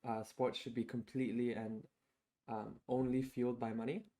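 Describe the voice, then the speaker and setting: A man's voice: mid-range voice, flat delivery, moderate pace, warm tone. A man in his twenties co-hosting a casual podcast, thinking aloud with many restarts and fillers. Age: 20s. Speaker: podcast co-host.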